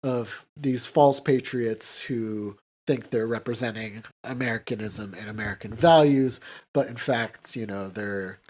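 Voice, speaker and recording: A man co-hosting a podcast, casually recapping a film in a halting way full of filler words. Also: medium pitch, a little mellow. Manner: halting, filler-heavy with "like" and "you know". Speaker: podcast co-host.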